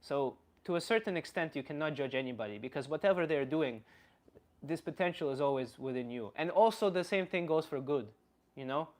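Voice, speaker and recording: A man's voice: fairly high pitch, lively intonation, a little mellow, natural voice. Man as blunt philosophizing Slavic uncle. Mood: earnest, reflective. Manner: philosophizing, blunt, halting and reflective. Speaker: livestream host. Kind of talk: casual livestream talk, answering chat comments.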